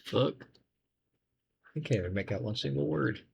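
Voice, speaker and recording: A man's voice: mid-range voice, lively intonation, normal speed, vocal fry, a little mellow, a little hiss or hum. A man giving casual, sarcastic, exasperated reaction commentary on horror videos, swearing freely.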